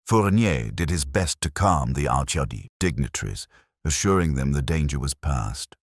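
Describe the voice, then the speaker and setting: A man reading a detective story as scripted narration, in a measured, formal and theatrical manner. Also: rumbling low, animated, no echo, clean studio sound. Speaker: audiobook narrator.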